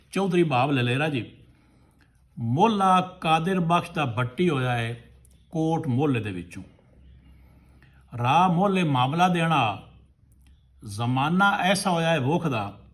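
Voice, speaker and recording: A man telling a folk tale to listeners as a storyteller, in short rustic phrases. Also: mid-range voice.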